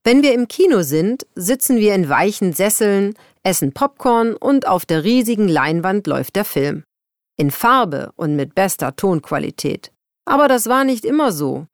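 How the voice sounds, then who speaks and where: A woman reading scripted exam texts and interview questions in a clear, lively way. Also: mid-range voice, moderate pace, no echo, studio quality. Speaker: exam recording reader.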